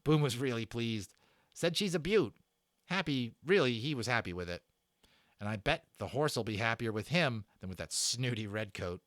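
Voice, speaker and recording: A man's voice: mid-range voice, expressive, normal speed, a bit bright, no echo, studio quality, close to an everyday voice. A man voicing an eager, friendly schoolboy in a storybook, with a casual, chatty manner. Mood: chatty, amused.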